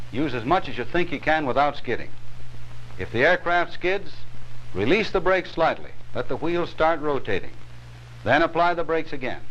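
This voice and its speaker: A man with an American accent gives scripted instructional narration for a 1950s training film, in a measured, authoritative way. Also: medium pitch, a bit bright. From United States. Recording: dull, noisy 1950s film soundtrack.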